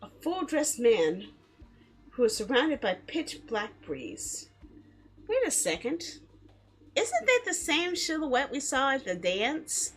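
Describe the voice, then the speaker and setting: A woman streaming a game in a casual, expressive, chatty way, reading story text aloud and reacting to it. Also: high voice, slow, slightly rough, low-quality recording. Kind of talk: casual live let's play, reading visual novel text aloud. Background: background music.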